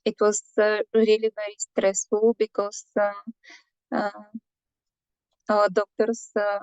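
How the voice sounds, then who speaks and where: A Bulgarian-accented woman, a mother, speaks English slowly and haltingly, stopping often on fillers. Her voice is smooth and clear, with a fairly flat pitch. The sound is dry and close. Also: dry recording, low-quality recording. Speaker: parent of a patient.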